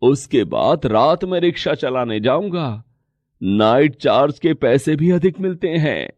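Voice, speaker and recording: Poor devoted father in a moral tale, acted by a man: earnest, reassuring, slow and deliberate. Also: a little high, lively intonation, darkish tone, no echo, dull audio, pitched below the performer's own voice. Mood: earnest, resolute. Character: poor, determined rickshaw-driver father, devoted to his son. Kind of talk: Hindi moral story dialogue.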